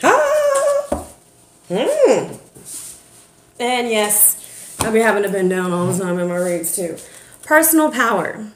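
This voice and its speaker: A woman giving a casual, sassy tarot forecast, chatty and playful, a little hoarse from recovering from bronchitis. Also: a little high, very animated, slow, long pauses, a little hiss or hum. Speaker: tarot reader. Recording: echoey room.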